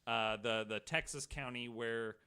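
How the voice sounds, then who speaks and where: A man's voice: medium pitch, steady tone, measured pace, vocal fry, pushed and bright, clean tone. A man hosting a podcast, telling true-crime stories casually with profane, joking asides. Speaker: podcast host.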